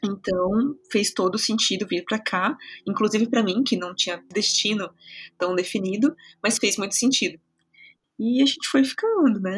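A middle-aged woman from São Paulo state, Brazil, talking as a podcast guest in a reflective, warm way, full of filler words and restarts. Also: medium pitch, gravelly, slightly rough. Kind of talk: casual conversational interview.

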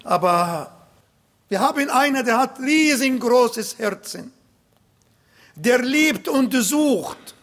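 A man preaching a sermon in German with an Arabic accent, non-native grammar and emphatic, slowly built phrases. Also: extremely high pitch, expressive. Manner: emphatic, slow, swells louder on key points.

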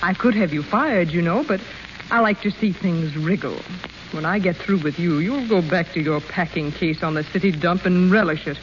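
Actress for a cruel, sarcastic debutante; cool and cutting, muffled vintage broadcast. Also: female voice, on the low side, moderate pace, lightly acted. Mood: sneering. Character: spiteful, haughty elder socialite sister. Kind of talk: 1930s radio acting. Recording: muffled hissy 1930s radio broadcast.

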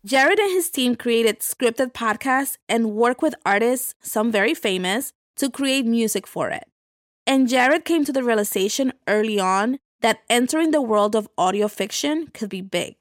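A woman's voice: fairly high pitch, animated, a little slow, no room sound, clean studio sound. A woman hosting a podcast in a scripted narration style. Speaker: podcast host.

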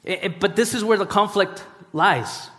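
A man's voice: high voice, fairly bright tone. A man in his thirties, from Costa Rica, preaching a sermon after a chatty personal introduction, with frequent fillers and restarts. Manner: halting, many fillers and restarts. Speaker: guest preacher. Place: large hall. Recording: hall reverb.

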